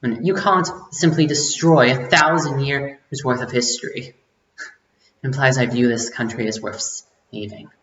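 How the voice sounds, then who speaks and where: An actor playing a bitter, vengeful rebel who addresses a powerful leader in a theatrical, deliberate dramatic monologue. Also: medium pitch, a bit bright, natural voice. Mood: scornful, accusing. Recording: muffled, echoey room recording.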